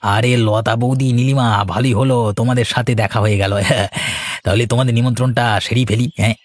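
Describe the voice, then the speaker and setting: A man playing a gossipy, easily tempted, food-loving village man in a cartoon, with a slightly husky, exclamatory delivery. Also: medium pitch, steady tone, fairly quick, no echo, lightly acted. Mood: friendly. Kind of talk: Bengali cartoon voice acting. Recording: music bed under the voice.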